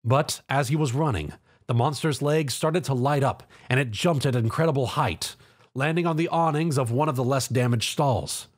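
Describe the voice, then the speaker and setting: A man's voice: mid-range voice, moderate pace. A man reading scripted narration of an action story.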